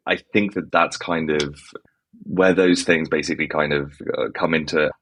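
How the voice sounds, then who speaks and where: Very deep-voiced man with an English accent. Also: slightly rough. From Czech Republic. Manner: rambling, many fillers like "kind of" and "basically". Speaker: guest, startup founder. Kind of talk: conversational interview.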